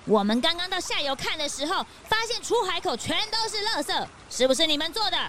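A woman playing an excitable young detective's sidekick in a children's radio drama, exclamatory and quick to whine. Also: extremely high pitch, slight background noise, heavily acted. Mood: accusing.

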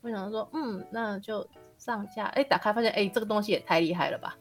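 Fast-talking woman, podcast guest. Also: mid-range voice, soft background music. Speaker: guest author. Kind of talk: casual anecdotal chat.

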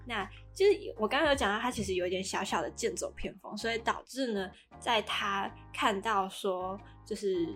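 A woman's voice: mid-range voice, fairly bright tone. A woman with a Taiwanese Mandarin accent co-hosting a podcast, casually recapping a drama in a chatty, explanatory way. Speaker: podcast co-host.

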